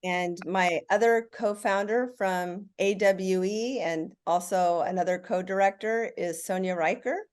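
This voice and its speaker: A woman's voice: medium pitch, unhurried, hardly any pauses, darkish tone. A middle-aged woman hosting and moderating a panel with a formal, welcoming script.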